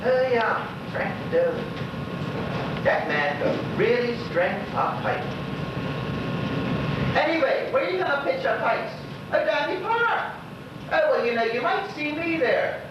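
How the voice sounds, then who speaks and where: A man's voice: very high voice, sing-song, takes it slowly, pauses often, heavily acted. Lonely old Bermudian widower, played by a male actor: folksy stage gossip, swooping delivery, distant and heavily echoing recording. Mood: chatty, nostalgic. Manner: rambling, exclaiming, talking to unseen listeners. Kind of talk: stage monologue in dialect. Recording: very echoey stage, distant mic, noisy, dull.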